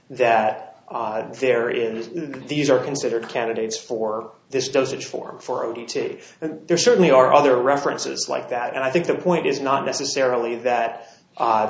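Attorney, male, slightly scratchy voice, measured delivery with filler pauses; roomy, dull courtroom recording. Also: mid-range voice. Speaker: appellate attorney. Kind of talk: courtroom oral argument.

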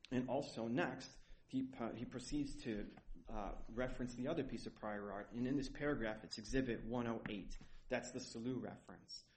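A man's voice: mid-range voice, normal speed, hardly any pauses, darkish tone. A male attorney making a methodical oral argument before a judge, often restarting and stumbling over fillers. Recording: roomy echo with faint noise.

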